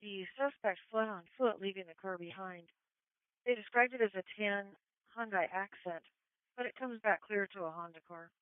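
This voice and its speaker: Female police dispatcher, smooth and matter-of-fact, mid pitch, heard through a phone-like radio channel. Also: moderate pace, no room sound. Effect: two-way radio. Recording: tinny narrow scanner radio feed.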